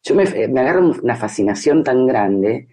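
A woman's voice: rumbling low, expressive, moderate pace, mellow. A woman in her fifties with a Rioplatense Spanish accent, talking reflectively and expressively as a novelist being interviewed, repeating phrases for emphasis. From grew up in Brazil. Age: 50s. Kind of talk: literary interview, reflective conversation.

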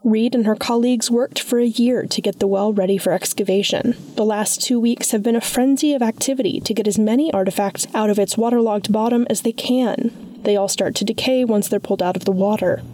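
A woman's voice: a little high, fairly even intonation, a little fast, dark tone. A woman narrating a scripted radio feature report. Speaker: news reporter.

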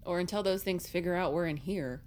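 A woman playing a blunt, exasperated high school girl with a sardonic manner in an audio drama. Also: a little fast, a little mellow, natural voice. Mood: disbelieving.

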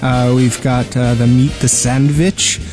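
A man's voice: medium pitch, level tone, unhurried, over music. A man co-hosting a podcast in casual chat with a wry, joking manner. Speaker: podcast co-host.

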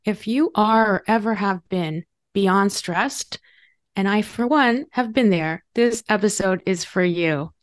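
A woman's voice: mid-range voice, slow pace, dry recording. A woman hosting a podcast, asking questions with enthusiasm. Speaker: podcast host.

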